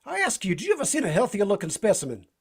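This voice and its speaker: Man playing folksy, animated adventurer with drawling slang. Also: high voice, very fast, husky, heavily acted. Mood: amazed, folksy. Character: folksy, talkative, eager male cowboy-type adventurer, Doc. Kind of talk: vintage radio drama acting.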